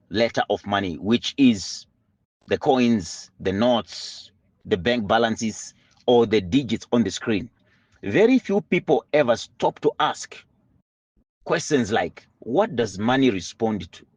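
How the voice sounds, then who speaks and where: A man's voice: lowish voice, darkish tone, no echo. A man giving a motivational lesson on money, earnest and building with preacher-like emphasis. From Zimbabwe. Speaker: money mentor.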